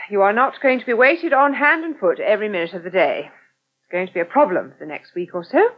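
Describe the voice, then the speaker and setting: An actress playing a brisk, no-nonsense, exasperated British woman in a 1980 radio soap. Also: mid-range voice, animated, natural voice. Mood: firm, weary. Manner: no-nonsense, scolding. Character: brisk, exasperated, capable woman caring for an invalid. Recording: dull 1980 radio recording.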